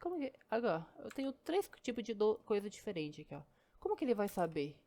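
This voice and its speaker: A woman walks through code at a quick clip, chatty and step by step. Her pitch sits in a middle range, and keyboard typing can be heard behind her. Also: very animated, dark tone. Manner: chatty, explains step by step, keeps saying "ó" and "né". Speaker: programming teacher. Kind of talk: casual screen-recorded coding lesson.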